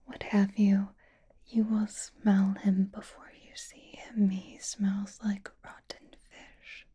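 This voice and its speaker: A woman playing a flirtatious, possessive female vampire in an ASMR roleplay, softly whispering with teasing endearments. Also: mid-range voice, little pitch movement. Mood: conspiratorial, wry. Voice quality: soft breathy whisper. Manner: slow, teasing, drawling endearments.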